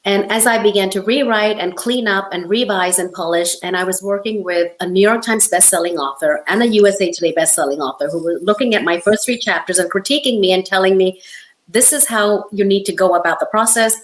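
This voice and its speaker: A middle-aged woman from Hong Kong, an author talking as an interview guest in an emphatic, conversational storytelling way. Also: medium pitch. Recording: roomy, echoey sound.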